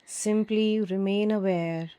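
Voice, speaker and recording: A woman teaching yoga nidra, giving calm, soothing guided meditation instructions with long pauses. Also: medium pitch, clear, resonant tone.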